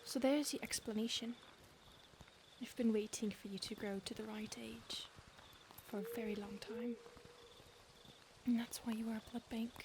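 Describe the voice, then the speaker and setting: A woman voicing a centuries-old female vampire stalker in an audio roleplay, speaking softly and often in a whisper, calm and menacing. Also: a little high, normal speed, pauses often, natural voice. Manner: soft, intimate, often whispered. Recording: noisy background hiss.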